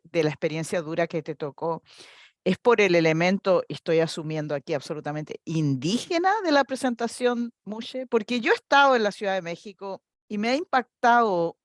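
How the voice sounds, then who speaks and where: A woman's voice: fairly low pitch, lively intonation, brisk, no echo, studio quality. Cordial Chilean woman hosting. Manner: cordial and thoughtful, asks long questions. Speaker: host. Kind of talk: interview questions.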